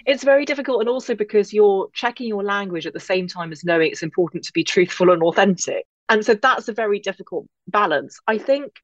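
An English woman speaking as an interview guest, reflective, articulate and measured in how she puts her thoughts together. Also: mid-range voice, animated, no room sound, dull audio. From England-born, grew up in Northern Ireland. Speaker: podcast guest, author.

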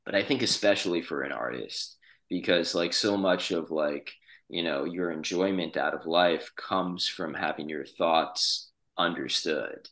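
Very deep, bright-edged man, discursive. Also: measured pace. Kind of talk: casual podcast conversation.

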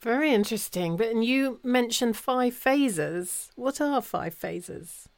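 Gravelly low woman host, dry sound. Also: expressive, slow pace, no room sound. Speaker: interview host. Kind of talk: podcast interview.

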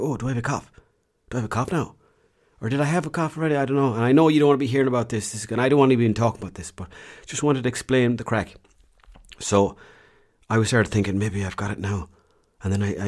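A man's voice: fairly low pitch, a little mellow. An Irish man telling a rambling, self-mocking holiday story in casual vlog style, with slang and the odd swear. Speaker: vlogger.

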